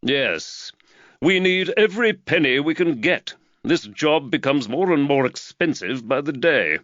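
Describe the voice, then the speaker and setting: A man voicing an earnest middle-aged London magistrate who lectures with energy, higher and louder than his own voice. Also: a little slow, no echo, lo-fi sound. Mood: brisk. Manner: lively, preachy, warms to his theme.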